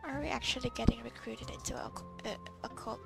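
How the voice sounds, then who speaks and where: A woman's voice: fairly high pitch, fairly even intonation, quick pace, gravelly. A woman giving casual gameplay commentary, with informal, dry asides. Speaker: gamer commentator. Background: game music.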